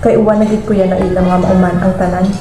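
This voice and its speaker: A woman's voice: on the low side, level tone, fast talker, close to an everyday voice. A woman playing a self-reliant young career woman in an amateur student radio drama. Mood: friendly, candid. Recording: echoey room, clear background noise.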